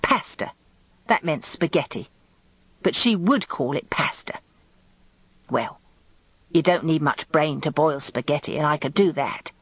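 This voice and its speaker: A woman's voice: low-pitched, unhurried, pauses often, breathy edge, no room sound. A woman voicing a blunt, resentful working-class English housekeeper who is heavy and gasps as she grumbles, in a radio drama. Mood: scornful, indignant. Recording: dull lo-fi radio recording.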